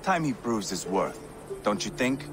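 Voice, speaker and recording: An actor playing a brash, mocking Viking warrior in a video game, taunting and boisterous. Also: male voice, lowish voice, very animated, unhurried, noisy background.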